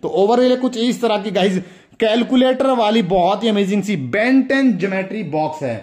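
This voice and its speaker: A man's voice: sits high, lively intonation, normal speed, a little mellow. A young man with an Indian accent hosting a casual hands-on review, talking enthusiastically and repetitively straight to viewers. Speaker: toy review video host. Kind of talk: casual hands-on product demonstration.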